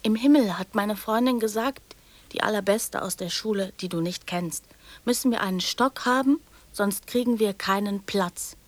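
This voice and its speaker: A woman's voice: mid-range voice, moderate pace, no echo, close to an everyday voice. An actress in a German radio drama playing a dreamy, naive woman in love who muses in short, childlike phrases.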